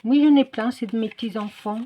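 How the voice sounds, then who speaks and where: A woman's voice: a little high, fairly quick, narrow, tinny audio. A middle-aged or older woman chatting casually in a craft vlog, hesitant and often searching for her words. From Portuguese descent. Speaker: craft video creator.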